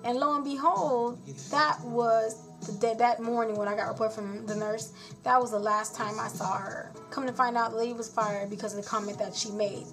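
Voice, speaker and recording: A woman's voice: a little high, fairly even intonation, a little fast. Blunt woman venting over music. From born in Haiti, raised in South Florida. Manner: blunt, frank, rambling. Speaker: nurse. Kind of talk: casual venting vlog.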